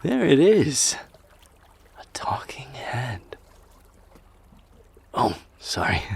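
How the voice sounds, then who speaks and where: A man's voice: mid-range voice, huge pitch swings, extremely slow, creaky, breathy edge, exaggerated voice. A man playing a courteous, reflective warrior king in an ASMR audio roleplay, speaking formally and politely with some hesitation. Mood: courteous, impressed.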